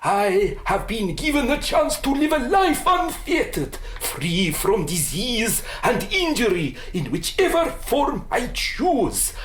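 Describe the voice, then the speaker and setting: Radio drama villain, played by a woman: imperious female shapeshifter, slow, formal, grandiose, in an echoey space. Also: on the high side, sing-song, slight background noise. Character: sinister shapeshifting organism in young woman's form, imperious. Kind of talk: radio play acting.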